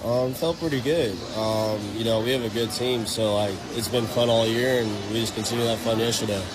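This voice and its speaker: A man's voice: mid-range voice, fairly even intonation, brisk, over music. A young man, a college baseball player, answering casually in an interview. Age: young adult. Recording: noisy on-site interview.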